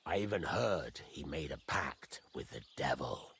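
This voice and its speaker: An actor playing a gruff, fatherly middle-aged master blacksmith in a medieval video game, blunt and folksy, teasing as he talks. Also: rumbling low, animated, a little slow, a bit bright, a little hiss or hum, full character voice. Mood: confiding. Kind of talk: video game dialogue acting.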